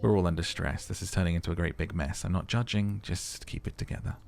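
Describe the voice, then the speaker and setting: A man voicing a patient male police lieutenant, polite, restrained and quietly tolerant. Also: low voice, fairly even intonation, quick pace, gravelly, faint music behind. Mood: calm, concerned.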